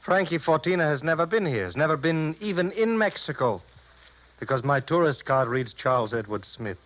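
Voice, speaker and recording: An actor playing a ruthless, smug crime boss with an American accent and a clipped, commanding, threatening manner, in 1950s radio noir. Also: male voice, fairly high pitch, expressive, normal speed, fairly bright tone, rough recording. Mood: ruthless, scheming. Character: cold, menacing male mob boss, smug and ruthless.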